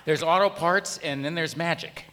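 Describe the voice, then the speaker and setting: Hesitant, nerdy gee-whiz fellow. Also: male voice, on the high side, normal speed, pushed and bright. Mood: shyly helpful. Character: timid, awkward, nerdy, helpful male customer. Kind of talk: comic stage radio theatre. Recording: live theatre hall with long reverb.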